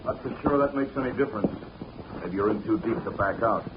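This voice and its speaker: A man's voice: lowish voice, fairly quick, natural voice. A man acting a menacing, smug lover in a 1940s radio drama, cool and insinuating. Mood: coolly threatening. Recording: muffled, noisy old radio recording.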